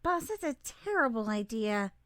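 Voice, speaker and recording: A woman's voice: fairly high pitch, very animated, moderate pace, a bit bright, no echo, studio quality. A woman voicing a fretful sidekick who frets over the boss in an audio drama. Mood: incredulous, fretful.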